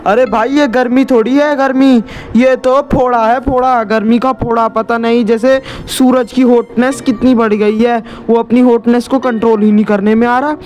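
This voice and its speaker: Timid young village man, male narrator acting: high, wordy, repetitive, cartoon comedy with music behind. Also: little pitch movement, normal speed, few pauses, darkish tone. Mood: grumbling.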